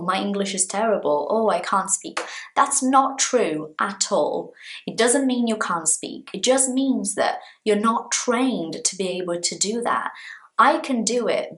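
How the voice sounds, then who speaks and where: A woman from Brazil, a native Portuguese speaker with a Brazilian accent, teaching an English lesson in a slow, deliberate, explanatory manner. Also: medium pitch, fairly bright tone, roomy sound. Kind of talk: language lesson talking to viewers.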